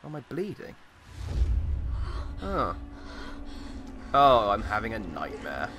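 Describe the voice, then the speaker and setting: A man with a slightly croaky voice giving casual, rambling commentary as he plays a game. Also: on the low side, wildly swooping pitch, takes it slowly, background noise. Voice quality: slightly croaky, recovering from voice loss. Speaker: gamer, host of a let's play.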